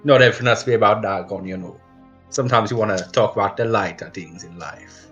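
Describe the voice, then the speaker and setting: A man's voice: on the low side, fast, a bit bright, lo-fi sound, soft background music, pitched below the performer's own voice, lightly acted. A man voicing a friendly, chatty lizard folk islander in a put-on Jamaican accent for a tabletop game. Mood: easygoing, friendly. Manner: laid-back, chatty, says "man" and "you know". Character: friendly lizard folk islander who loves whiskey and sunshine.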